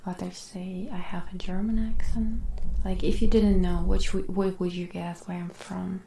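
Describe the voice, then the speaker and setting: Russian native girl doing asmr chat, mellow, kinda echoey, whispery and soft. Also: flat delivery, moderate pace, vocal fry, a little hiss or hum. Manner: soft-spoken, slips between whisper and low talk. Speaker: ASMR streamer. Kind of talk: casual whispered chat with viewers.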